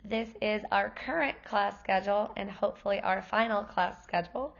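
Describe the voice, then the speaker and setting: A woman's voice: mid-range voice, moderate pace, muffled recording. A woman kindergarten teacher who is losing her voice, explaining class information to parents in a friendly, explanatory way. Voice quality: gravelly, worn voice. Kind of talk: parent night presentation.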